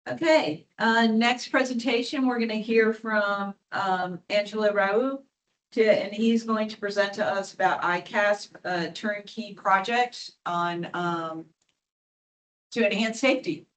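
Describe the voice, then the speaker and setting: A woman's voice: medium pitch, unhurried, low-quality recording. A woman chairing a committee meeting, informal and chatty as she moves the agenda along.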